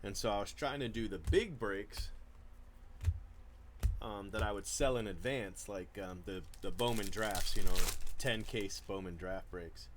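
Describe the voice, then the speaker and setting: A man's voice: on the low side, a little slow, slight background noise. A man hosting a trading card break, chatting casually about his past with many fillers and restarts. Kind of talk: casual chat while opening cards.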